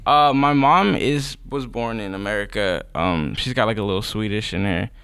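A man's voice: fairly low pitch, moderate pace, vocal fry, a bit bright, clear, resonant tone. Laid-back young man, drawling guest. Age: young adult. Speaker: guest rapper. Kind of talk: casual interview chat. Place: podcast studio. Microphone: studio mic on boom arm.

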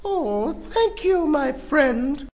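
A man voicing a gentle, humble, kindly dove in a children's animated story. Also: very high-pitched, very slow, voice pushed up, full character voice. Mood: thankful.

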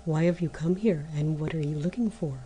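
A woman voicing an old male month spirit who speaks gravely. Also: fairly quick, mellow, slight background noise, lightly acted. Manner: low, grave.

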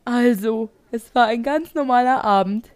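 Woman doing a grieving spruce tree wife in court comedy, high voice, very dry sound. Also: moderate pace, darkish tone, dry recording, natural voice. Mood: wistful. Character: grieving spruce-tree widow, indignant mother giving testimony. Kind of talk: fan audio comedy courtroom drama.

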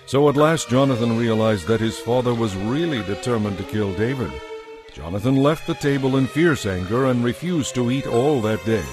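A man narrating a dramatized audio Bible as scripted storytelling. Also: on the low side.

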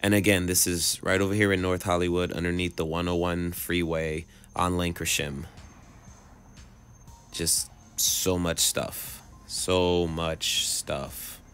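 Man, thirties, deep voice, casual vlog narration, musing, repetitive phrasing, music in background. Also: little pitch movement, slow pace, fairly bright tone. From moved to California in 2005. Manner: musing, repeats phrases, asks rhetorical questions. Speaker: vlogger. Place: outdoors on city streets and in a car, phone vlog.

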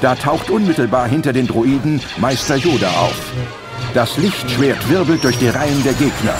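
A man with a medium-pitched voice narrates an adventure audio play. His delivery is scripted and dramatic, and music runs clearly behind the words. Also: animated, normal speed.

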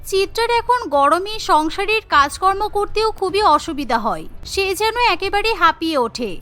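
A woman reading scripted cartoon story narration in an expressive storybook manner. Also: extremely high pitch, normal speed. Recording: music bed behind the voice.

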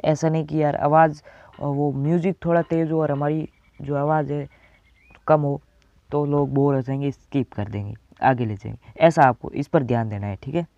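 A man's voice: fairly high pitch, steady tone, normal speed, creaky, warm tone, dry recording, lo-fi sound. A teenage male from India giving a casual step-by-step tutorial, addressing viewers as friends and often checking they follow. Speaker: tutorial presenter.

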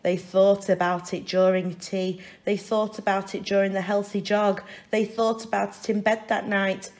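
A woman reading a children's story aloud in an expressive, deliberate way, with careful phrasing. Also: mid-range voice. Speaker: storybook reader. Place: indoors, reading a picture book at a wooden table.

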